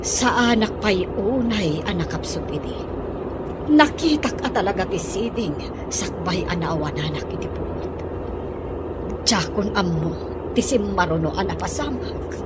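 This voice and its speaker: A woman's voice: medium pitch, animated, unhurried, natural voice. A woman playing a frightened, distressed young woman in an Ilocano radio drama. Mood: anxious, subdued. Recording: very noisy, vehicle sounds behind.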